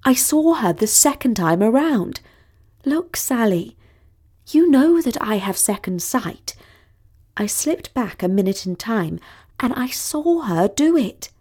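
Young princess acted by a woman, haughty, high voice, demanding, theatrical, storybook dialogue. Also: very animated, slow, darkish tone, full character voice. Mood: indignant, insistent. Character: haughty, dramatic young princess, imperious and easily outraged.

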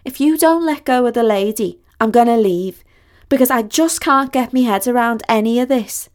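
A woman's voice: on the high side, moderate pace, lightly acted. A woman voicing a sensible, embarrassed young woman in a storybook, reasoning and pleading in a weary manner. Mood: embarrassed, fed up. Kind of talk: acted dialogue in a storybook reading.

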